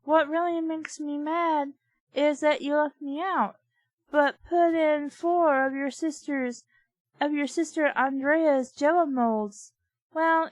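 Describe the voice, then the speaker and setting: A woman's voice: high-pitched, dry recording, voice pushed up. A woman voicing an indignant, self-pitying talking meatball from a storybook. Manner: aggrieved, complaining.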